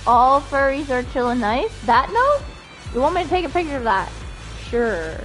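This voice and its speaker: Female game streamer, higher register, lively and informal, clear game music underneath. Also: moderate pace, poor audio. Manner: giggly, animated reactions, stumbles when reading aloud. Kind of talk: livestream chat while reading game dialogue aloud. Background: video game music.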